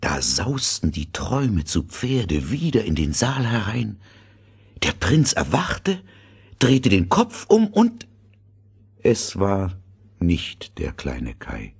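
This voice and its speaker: Deep, gravelly male fairy-tale storyteller. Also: wildly swooping pitch, slow pace. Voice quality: gravelly, husky. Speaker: narrator.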